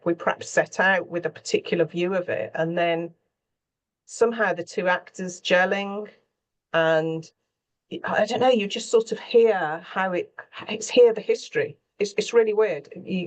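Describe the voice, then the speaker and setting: A woman's voice: on the low side, poor audio. A woman speaking off the cuff as a scriptwriter in a panel conversation, often searching for her words.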